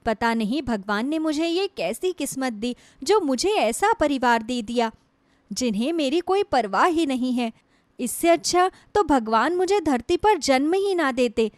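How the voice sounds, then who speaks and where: Woman voicing a sorrowful, high-voiced young wife. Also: animated, a little slow, no room sound. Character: sorrowful, long-suffering young wife pouring out her grief. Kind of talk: Hindi moral storybook voice acting.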